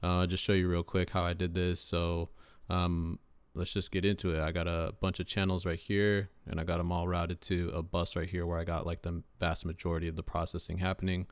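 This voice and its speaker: A deep-voiced young man, a rapper casually walking through his mixing setup in a relaxed way, with lots of ums and uhs. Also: a little fast, smooth, no room sound. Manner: laid-back, lots of uh and um fillers. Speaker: rapper and home mixing engineer. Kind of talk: casual screen-share mixing tutorial.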